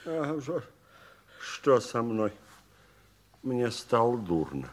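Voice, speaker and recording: An actor playing an emotional, courteous older gentleman in a sentimental 1960s Soviet radio play. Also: mid-range voice, very animated, takes it slowly. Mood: dazed, faint. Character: emotional older gentleman amateur astronomer, an uncle. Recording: old radio recording with music.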